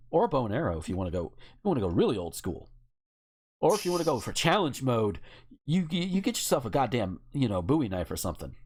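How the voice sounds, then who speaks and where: Animated, casually sweary podcast talker. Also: medium pitch. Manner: animated, sweary, acts out imagined quotes. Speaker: podcast co-host. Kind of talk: casual opinionated chat.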